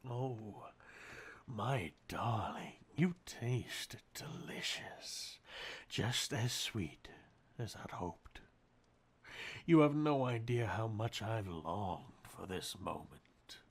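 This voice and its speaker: A man's voice: lowish voice, expressive, very slow, breathy edge, full character voice. A man playing a sadistic, possessive centuries-old vampire master in an intimate ASMR roleplay, murmuring and whispering breathily with menacing condescension. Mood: hungry, gloating.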